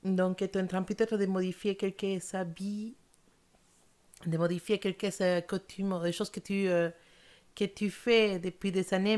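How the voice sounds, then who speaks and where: A young woman whose first language is not French, giving a monthly tarot reading in an earnest, hesitant way with many fillers and restarts. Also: fairly low pitch. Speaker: tarot reader.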